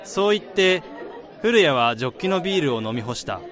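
A man's voice: a little high, very animated, fairly quick, a bit bright. A man acting in a radio drama as an easygoing married friend of a groom, around thirty, casual and bantering. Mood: wistful narration. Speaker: lead actor and narrator. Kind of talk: radio drama acting with first-person narration. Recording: busy crowd noise behind the voice.

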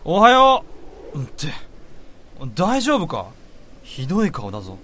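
A man acting a caring senior office worker in a Japanese radio drama, talking in a brisk, informal way. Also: high voice, huge pitch swings, pushed and bright, heavily acted. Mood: concerned. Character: concerned, casual senior male office worker looking after juniors.

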